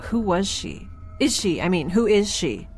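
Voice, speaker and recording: A woman playing a quirky, excitable young woman in a space audio drama, chatty, wry and rambling. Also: medium pitch, measured pace, faint music behind. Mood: eager, wry.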